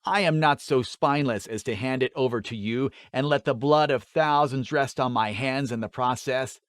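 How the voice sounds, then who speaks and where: A man's voice: mid-range voice, moderate pace, dry recording, close to an everyday voice. Guy doing brave defiant dad with a sword, fantasy audiobook, firm formal speech, dry audio.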